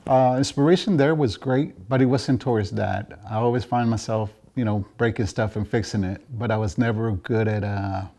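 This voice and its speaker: A man from Mexico giving casual interview answers about his trade, often halting as he searches for words. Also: lowish voice, dark tone. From Juarez, Mexico. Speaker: interviewed draft beer system installer and business owner.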